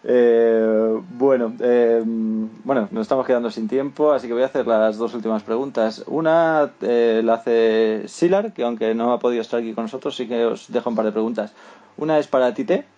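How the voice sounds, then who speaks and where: A man's voice: mid-range voice, fairly even intonation, moderate pace, mellow, lo-fi sound. A man with a Spanish accent hosting an unscripted panel interview on a podcast. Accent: Spanish (Spain). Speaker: podcast host.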